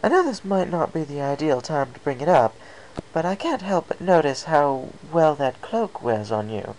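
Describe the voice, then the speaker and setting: A woman's voice: sits low, big pitch swings, normal speed, darkish tone, a little hiss or hum, pitched above the performer's own voice, poor audio. A woman voicing a refined, fussy female pony dressmaker in a genteel, theatrical way, drawing out her words. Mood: polite admiration.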